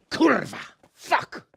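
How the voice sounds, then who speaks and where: A man acting a hot-tempered, sardonic, foul-mouthed medieval lord in a video game, with a raspy, blustering delivery. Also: fairly bright tone. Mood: furious. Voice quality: raspy, gravelly. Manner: sarcastic, blustering, profane.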